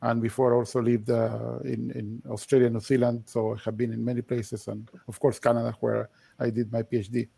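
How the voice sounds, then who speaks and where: Gravelly older man. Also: medium pitch, flat delivery, mellow, dry recording. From Chile. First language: Spanish. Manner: measured, with self-deprecating humor. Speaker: data science program director. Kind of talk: panel introduction. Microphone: video call.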